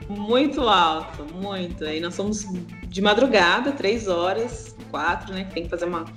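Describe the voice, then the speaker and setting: A woman's voice: mid-range voice, moderate pace, roomy sound, soft background music. A woman with a Brazilian Portuguese accent, a guest on a podcast, telling anecdotes casually with frequent tag questions. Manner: warm storytelling with tag questions. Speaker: English teacher and podcast guest. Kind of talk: casual interview chat.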